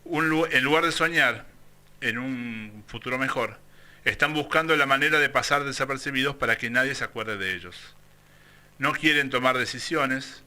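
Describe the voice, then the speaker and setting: A man's voice: medium pitch, pushed and bright, echoey room. A man hosting a radio talk show, delivering an emphatic opinion piece and interviewing a guest. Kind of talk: scripted opinion monologue and interview.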